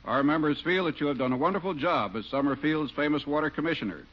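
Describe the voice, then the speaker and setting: A man's voice: on the high side, fast talker. A man, a public water official, presenting an award on a 1940s radio comedy in a stiff, formal, read-out manner. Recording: dull 1940s radio broadcast recording.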